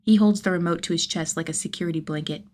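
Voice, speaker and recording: Female audiobook narrator, slightly low voice, expressive scripted storytelling. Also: fast. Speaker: audiobook narrator of fan fiction.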